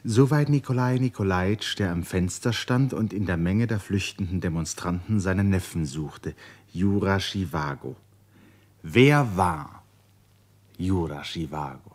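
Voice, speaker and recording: An actor playing the solemn narrator of a literary radio play, husky-voiced, with measured, dramatic delivery. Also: male voice, low-pitched, darkish tone. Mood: emphatic. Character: solemn male narrator of a Russian family saga.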